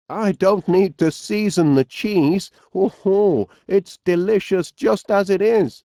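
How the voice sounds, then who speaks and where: A man's voice: high voice, dark tone, dry recording, clean studio sound. A man playing a cheery, eccentric middle-aged inventor in a cartoon video game, with a Northern English accent and a dotty, genial, musing manner.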